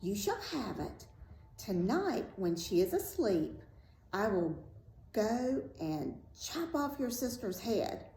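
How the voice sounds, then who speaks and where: A woman's voice: medium pitch, very animated, slow pace, dark tone, voice pushed up. Woman putting on a sly wicked old witch. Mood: sly scheming. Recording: roomy echo, faint background noise.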